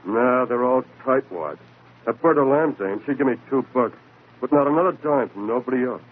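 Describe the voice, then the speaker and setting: A man's voice: medium pitch, normal speed, lightly acted. A man acts out a grumbling New York janitor in an old radio play. He is surly, slangy and tough. The recording is narrow and tinny. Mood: aggrieved grumbling. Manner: sullen, slangy wise guy. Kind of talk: 1940s radio drama acting.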